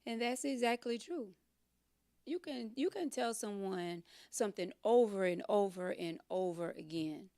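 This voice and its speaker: A woman's voice: medium pitch, measured pace, dark tone, dry recording, clean studio sound. A woman in a casual chat, supportive and affirming as she talks.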